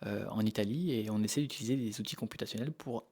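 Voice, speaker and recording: Fast-talking, hesitant man from Normandy. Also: on the low side, darkish tone, breathy edge. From Normandy, France. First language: French. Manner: hesitant, often repeating words as he explains. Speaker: interview guest, animal behaviour researcher. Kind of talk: informal interview answers.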